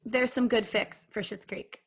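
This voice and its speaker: A woman co-hosting a live podcast with a slightly higher-than-average voice. She talks playfully and reads listeners' chat comments aloud, teasing the others as she goes. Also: steady tone, unhurried, a bit bright, slightly rough. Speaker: podcast co-host.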